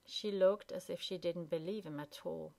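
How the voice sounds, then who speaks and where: A woman's voice: lowish voice, steady tone, moderate pace, vocal fry, warm tone. Woman reading a storybook. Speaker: storybook reader. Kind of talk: expressive scripted story narration.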